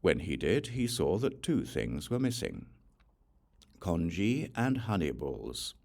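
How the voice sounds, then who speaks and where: A man reading scripture as an audiobook, narrating in a measured, deliberate storytelling way. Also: sits low, very animated, gravelly, darkish tone. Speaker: audiobook reader.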